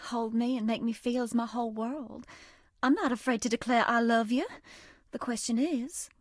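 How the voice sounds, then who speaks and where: Flirty sweet southern belle for a dating game, higher voice, coy n folksy, very dry recording. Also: female voice, no room sound. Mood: tender, lovestruck. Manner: coy, folksy, old-fashioned endearments. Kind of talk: dating game character voice acting.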